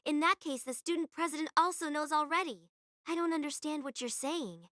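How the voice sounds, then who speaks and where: An actress playing a hot-tempered, scornful young military student in an audio drama, confrontational and accusatory. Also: female voice, high-pitched, fairly quick, bright, forceful tone, dry recording, studio quality. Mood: scornful, accusing. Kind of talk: anime-style audio drama acting.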